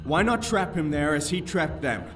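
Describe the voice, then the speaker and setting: An actor playing an anxious conspirator in a fantasy audio drama, recorded a little away from the mic. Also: male voice, a little high, animated, moderate pace, distant mic, soft background music, close to an everyday voice. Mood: urgent, questioning.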